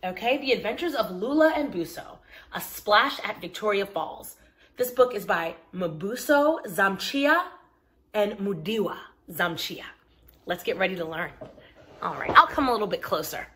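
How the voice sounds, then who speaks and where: A woman hosting a story time for young children, speaking directly to them in simple, clear phrases as she talks and reads aloud. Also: medium pitch, expressive, normal speed, bright, forceful tone. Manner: addresses listeners directly, simple explanations with check-in questions.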